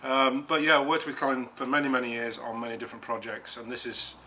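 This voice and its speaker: A man's voice: mid-range voice, little pitch movement, fast, pushed and bright, a little hiss or hum. A man from Nottingham with an English accent, a guest designer chatting outdoors in a back garden, speaking plainly with hesitant ums. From Nottingham, England. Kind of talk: casual chat.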